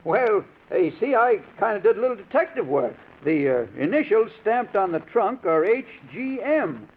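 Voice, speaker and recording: A folksy working man, eager and well-meaning but hesitant. His voice is high and lively, heard on a thin, narrow old radio recording. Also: normal speed, full character voice. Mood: eager, helpful. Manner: hesitant, colloquial, simple and eager. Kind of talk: 1940s radio character acting. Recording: tinny, hissy old radio broadcast.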